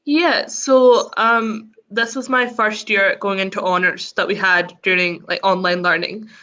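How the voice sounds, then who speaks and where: A woman's voice: medium pitch, steady tone, a little slow, pushed and bright. A young woman, an undergraduate student, answering Q&A questions in an eager, chatty way. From Glasgow, Scotland. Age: young adult. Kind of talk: casual Q&A answers.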